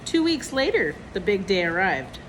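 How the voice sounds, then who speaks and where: A woman reads a children's story aloud at a medium pitch. Her delivery is expressive, as if for young listeners. Clear background noise runs behind her voice. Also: normal speed, poor audio. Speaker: storybook reader. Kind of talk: reading a children's picture book aloud.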